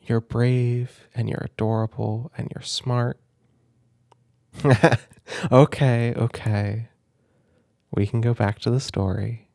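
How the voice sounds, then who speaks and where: A man speaking in a gentle, doting, playful way, as in a soft ASMR roleplay where a caring big brother reads a children's book aloud. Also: mid-range voice, little pitch movement, slow pace, vocal fry, pauses often, no room sound, clean studio sound. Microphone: close microphone, dry room.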